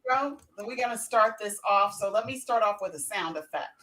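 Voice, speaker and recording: A woman's voice: mid-range voice, lively intonation, normal speed, fairly bright tone. A woman hosting a casual group show in a giggly, playful way, introducing and bantering. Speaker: host introducing the cast of an amateur table read.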